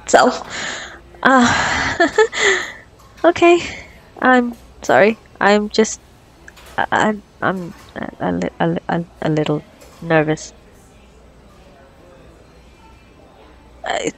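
A woman playing a flustered, awkward young doctor on a date in an ASMR roleplay, stammering nervously and self-consciously. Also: mid-range voice. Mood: embarrassed self-scolding, then nervous, smitten.

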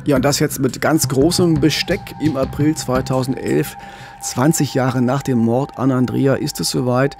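A middle-aged man co-hosting a true-crime podcast in a radio studio, explaining calmly with some restarts. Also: medium pitch, moderate pace, creaky, faint music behind. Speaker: podcast co-host and crime reporter. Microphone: studio microphone with pop filter, headphones.

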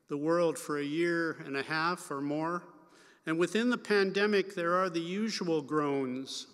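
Slow older Canadian man preaching. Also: fairly high pitch, a bit bright. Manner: slow, measured reading. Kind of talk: scripture reading and sermon. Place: large wood-paneled church sanctuary. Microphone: pulpit microphone at lectern. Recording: long church reverb.

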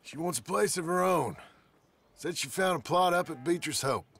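An actor playing a weary, gruff cowboy family man with a rural Western American accent, in a video game drama. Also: on the high side, normal speed, fairly bright tone, exaggerated voice. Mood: defensive, weary.

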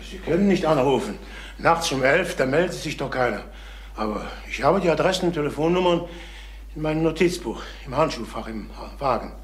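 A middle-aged actor playing a troubled travelling salesman in a crime radio play, halting, repeating himself and on the defensive. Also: a little high, animated, close to an everyday voice. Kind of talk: German crime radio drama acting. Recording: noisy vintage radio drama recording.

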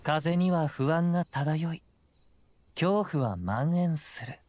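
A man voicing a serene, poetic wandering samurai in an anime game, with measured, archaic phrasing. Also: a little high, expressive, a little mellow. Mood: calm, pensive.

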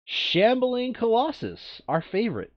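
A man casually playing through a board game, reading card text aloud and adding expressive, muttered asides. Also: very high voice, measured pace, dry recording, muffled recording.

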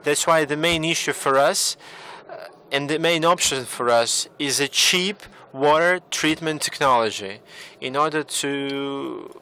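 A man from Tunisia speaks up from the audience, earnest and a little repetitive as he makes his point. His voice is bright and forward, pitched in the middle range. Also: measured pace. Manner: earnest, repeats himself. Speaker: audience member. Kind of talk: question from the floor.

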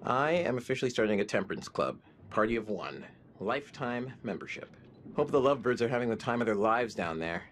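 A man's voice: mid-range voice, lively intonation, brisk, fairly bright tone, slight background noise, lightly acted. An actor playing a hungover young man in a game cutscene, talking wryly and mocking himself. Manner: wry, self-deprecating.

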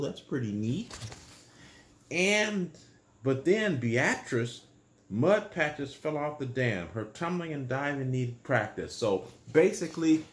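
Slow, expressive older man reading a storybook. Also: medium pitch, echoey room. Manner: explains things simply and patiently, as to young children. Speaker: storyteller reading a children's picture book. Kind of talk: bedtime story read aloud with simple explanatory asides. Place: small room indoors.

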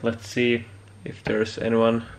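A man giving a casual hands-on demonstration as a hobbyist, often pausing mid-phrase. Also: mid-range voice, monotone, creaky, a little hiss or hum. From Sweden. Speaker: amateur radio hobbyist presenter. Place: indoor home electronics workbench.

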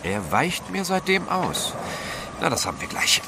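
An actor playing a resolute, courteous gentleman adventurer in a German audio drama. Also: on the high side, wildly swooping pitch, measured pace, heavily acted. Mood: composed. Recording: noisy sea effects behind.

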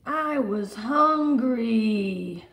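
Storybook little boy, played by a woman: mischievous, whiny, high voice, slow stretched exclamations. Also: animated, fairly bright tone, full character voice. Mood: protesting.

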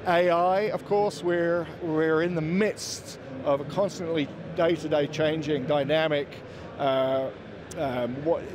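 Higher-voiced man presenting over crowd noise. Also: fairly even intonation, slow. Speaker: company representative at a trade show. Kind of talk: product presentation in an interview. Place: indoor exhibition booth. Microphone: handheld interviewer's microphone. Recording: busy trade-show floor crowd noise.

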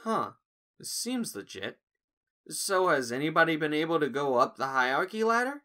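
A man's voice: on the high side, big pitch swings, normal speed, pushed and bright. A man playing a nervous but clever young human in a cartoon audio drama, hesitant and wry. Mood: wry, curious.

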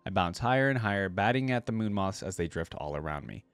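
A man's voice: low voice, animated, quick pace, smooth, no room sound. A young man hosting a reaction video, reading a story aloud and adding casual commentary, close on a desk microphone. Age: young adult. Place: indoor room at a streaming setup.

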